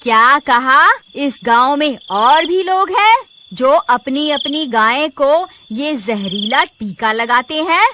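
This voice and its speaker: Woman as a stern, slow-spoken vengeful witch. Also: high voice, lively intonation, bright, forceful tone, slight background noise, full character voice. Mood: indignant. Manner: menacing, commanding, declamatory. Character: vengeful female witch spirit, stern judge of the greedy. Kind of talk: melodramatic cartoon horror acting.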